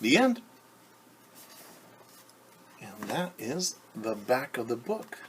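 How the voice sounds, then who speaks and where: A man teacher reading a picture book aloud to children in an expressive, deliberate way, with wondering asides. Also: mid-range voice, slight background noise. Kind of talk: storytime read-aloud with commentary for children.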